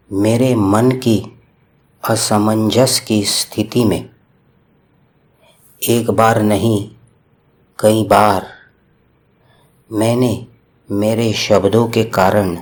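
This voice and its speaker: A man leading a guided meditation with slow, calm, soothing instructions and long pauses. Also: lowish voice.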